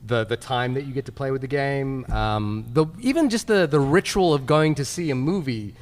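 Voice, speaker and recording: A man speaking casually on a live film podcast panel, halting and repeating words as he thinks. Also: mid-range voice, lively intonation. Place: large convention panel room. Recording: echoey hall PA, live recording.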